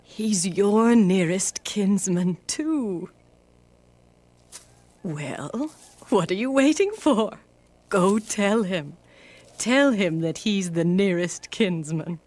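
An actress playing a kindly but insistent old mother-in-law in an animated Bible story, coaxing firmly. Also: mid-range voice, drawn-out words, pauses often. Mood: amused, urging. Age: older. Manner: coaxing, firm.

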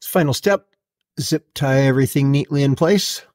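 A middle-aged man narrating a hands-on how-to in a deliberate, matter-of-fact way. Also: medium pitch, darkish tone. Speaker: host of a DIY boat install video.

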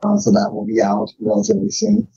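A man gives a casual, self-deprecating interview in a relaxed way. His voice is mellow and husky with plenty of fry. The recording is a little dull and lo-fi. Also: high voice, fast talker. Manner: laid-back, self-deprecating. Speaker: podcast guest, firearms author.

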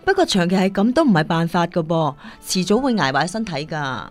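A woman's voice: fairly low pitch, sing-song, fairly quick, soft background music. A woman acting in a Cantonese radio drama as a caring, firm singing teacher, earnest and admonishing, with a Hong Kong accent.

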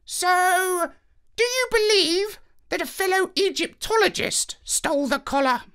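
Shrill, haughty storybook parrot. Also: male voice, expressive, bright, forceful tone, full character voice. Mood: self-satisfied. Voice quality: shrill, squawky. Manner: pompous, drawn-out theatrical delivery. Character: haughty, vain genius parrot detective, squawky. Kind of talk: children's storybook character voice.

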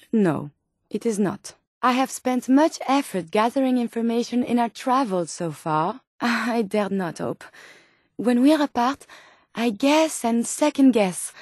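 A woman's voice: fairly high pitch, darkish tone, no room sound, studio quality. An actress voicing an earnest, polite female robot scientist in a video game, with formal, precise phrasing. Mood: earnest, wistful. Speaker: video game companion character. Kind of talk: acted video game dialogue.

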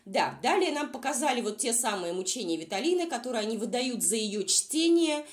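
A woman's voice: fairly high pitch, expressive, a little fast. A woman vlog reviewer who is unwell, giving informal, exasperated and sarcastic commentary. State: unwell, says voice is nearly gone. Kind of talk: informal mocking commentary over video.

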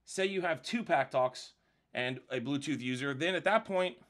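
A man's voice: on the high side, moderate pace, noisy background. A man presenting a casual step-by-step product demonstration in a conversational, explanatory way.

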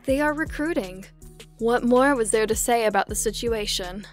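An actress playing a composed, authoritative assassin commander in an audio drama, formal and matter-of-fact. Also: female voice, on the high side, normal speed, faint music behind, lightly acted. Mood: cool, commanding.

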